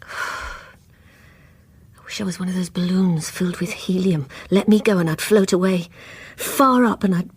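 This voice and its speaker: A woman's voice: on the low side, steady tone, measured pace, long pauses, breathy edge. An actress playing a lonely, dreamy, troubled married woman in a radio drama, with a British accent and a confessional, sighing manner. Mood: dreamy, wistful.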